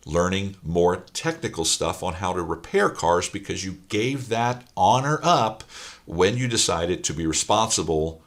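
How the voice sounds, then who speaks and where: A middle-aged man, hoarse and raspy from a weekend of speaking, talking straight to camera as a business coach with emphatic, filler-heavy delivery. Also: deep voice, lively intonation, unhurried, fairly bright tone. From Ohio, USA. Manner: emphatic, repeats points, many fillers. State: hoarse after long speaking. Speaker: auto repair shop business coach. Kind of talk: direct-to-camera talk.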